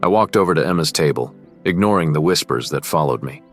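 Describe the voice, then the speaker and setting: A man playing a cocky rich high school boy who is quietly smitten, narrating and speaking in a teen romance drama. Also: expressive, fast, soft background music. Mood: brooding, reflective. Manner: smug, cool, guarded. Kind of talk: first-person narration and dialogue in a teen romance.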